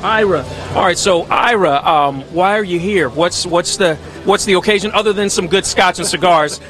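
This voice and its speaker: A man's voice: high voice, normal speed, fairly bright tone. Upbeat man interviewing outdoors. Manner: upbeat, repeats "outstanding" a lot. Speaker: event interviewer. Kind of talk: casual on-the-street interviews. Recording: noisy outdoor street.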